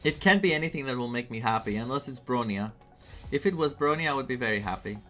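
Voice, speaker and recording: A young man with a Greek accent streaming a game, chatting excitedly, laughing often and reading quest text aloud. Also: medium pitch, quick pace, soft background music.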